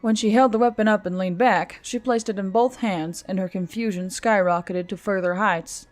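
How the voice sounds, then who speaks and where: A woman narrates a piece of fiction in a medium-pitched voice. She reads scripted prose as an audiobook narrator, with music playing behind her. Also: fairly even intonation, normal speed.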